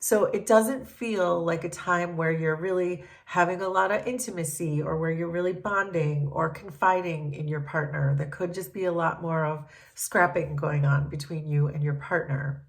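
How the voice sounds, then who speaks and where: A woman reads tarot cards for her viewers in a gentle, unhurried way, pausing often to think. Her voice sits a little low and sounds stuffy from a cold. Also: steady tone, vocal fry. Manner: gentle, thoughtful, many ums and pauses. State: congested from a cold. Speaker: tarot reader. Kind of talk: collective tarot reading.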